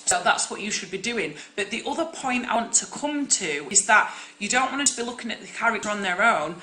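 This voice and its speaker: Loud, bright-voiced woman teaching. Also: mid-range voice, lively intonation, fairly quick, roomy sound, low-quality recording. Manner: loud, projecting, direct and encouraging. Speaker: acting coach. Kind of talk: live-streamed teaching talk.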